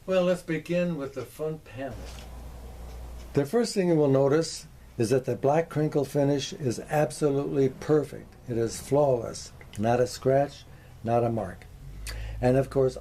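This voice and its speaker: A man hosting a workshop video, presenting and explaining in a measured, orderly way. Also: mid-range voice, mellow. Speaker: host of a workshop video on antique radios.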